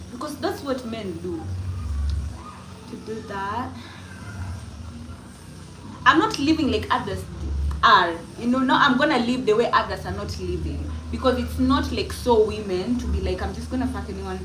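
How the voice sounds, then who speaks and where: Kenyan woman, young, emphatic livestreamer, echoey room, clear room noise behind. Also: medium pitch. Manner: defiant, emphatic, repeats herself. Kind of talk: casual livestream monologue. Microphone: phone.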